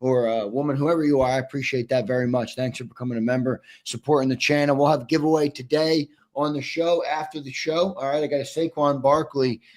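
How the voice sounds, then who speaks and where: A man's voice: mid-range voice, fairly even intonation, fairly quick, hardly any pauses, darkish tone. A man hosting a casual sports talk show, upbeat and losing his voice. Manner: upbeat, reads viewer questions and ad reads. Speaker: host.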